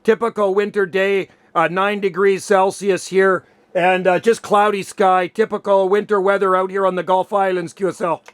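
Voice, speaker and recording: A man's voice: sits high, little pitch movement, normal speed, hardly any pauses, a little hiss or hum. A man making casual radio contact as an operator, chatty and full of radio jargon.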